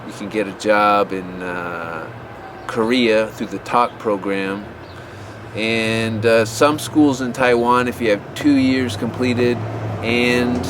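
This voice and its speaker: A man giving offhand advice about teaching abroad. He rambles and often restarts with fillers. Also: medium pitch. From USA. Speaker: English teaching advice vlogger. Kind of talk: unscripted advice talk to camera. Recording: outdoor street noise.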